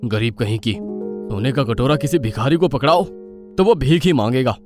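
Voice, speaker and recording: A woman's voice: very deep voice, moderate pace, over music, pitched below the performer's own voice. A woman voicing a cruel, angry young husband in a cartoon story, harsh and insulting.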